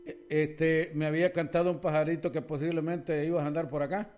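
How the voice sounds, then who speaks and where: A man's voice: a little high, level tone, fairly quick, darkish tone, a little hiss or hum. A man hosting a local radio show, chatting in a friendly, teasing way with the people he talks to. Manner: friendly, teasing, calls guests profe and hermano. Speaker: radio host. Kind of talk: casual radio interview.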